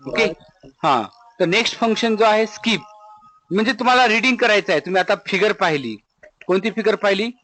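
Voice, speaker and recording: A man's voice: high voice, animated, fairly quick, sharp tone, slight background noise, low-quality recording. A man with a Marathi accent giving a step-by-step lecture as an instructor, didactic, repeating points and asking rhetorical questions. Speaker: programming instructor.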